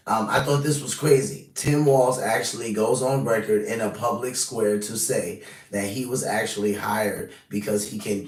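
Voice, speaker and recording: A man's voice: fairly low pitch, roomy sound, recorded from a distance. A man hosting a casual news reaction live stream, talking straight to his chat, his voice a little scratchy. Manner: chatty, talks straight to chat. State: slightly scratchy voice.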